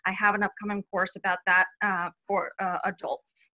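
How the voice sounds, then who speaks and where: A woman's voice: mid-range voice, little pitch movement, moderate pace, bright, forceful tone, lo-fi sound. A woman from Bulgaria, a children's book author answering interview questions cheerfully, with frequent laughs and fillers.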